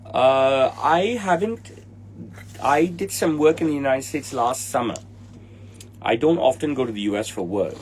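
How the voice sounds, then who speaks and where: A man's voice: mid-range voice, expressive, a little slow, slight background noise. A man in his forties with a Hong Kong English accent, a tailor answering livestream viewers in a blunt, impatient way. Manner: blunt, impatient, swears at commenters. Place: indoor room with trophies behind him.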